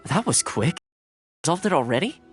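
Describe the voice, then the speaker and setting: An actor playing a polite, helpful townsman in a video game, speaking in an articulate, measured way. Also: a little high, very animated, soft background music. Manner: articulate, courteous.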